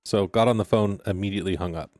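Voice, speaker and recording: Deep-voiced blunt man, dry sound. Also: quick pace, darkish tone, no echo, soft background music. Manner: blunt, swears freely. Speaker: co-host. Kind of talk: casual podcast chat.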